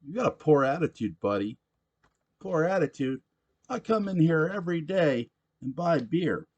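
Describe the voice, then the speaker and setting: Drunk, pushy middle-aged bar regular, a man reading the part, loud and gruff in a storybook reading. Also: on the high side, very animated, normal speed, muffled recording, pitched above the performer's own voice. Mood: belligerent.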